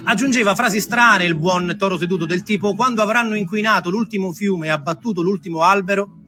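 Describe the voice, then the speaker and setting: A man tells a story in a theatrical, reflective way, with dramatic pauses and shifting pace. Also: high voice, music in the background. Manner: dramatic pauses, shifts between slow and quick phrases. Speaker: storyteller, stage performer.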